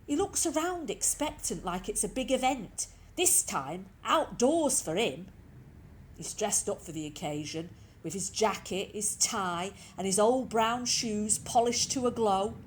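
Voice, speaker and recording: Slow, expressive woman storyteller over background noise. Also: mid-range voice. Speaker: reader of short stories. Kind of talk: expressive scripted story reading. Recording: clearly noisy with background ambience.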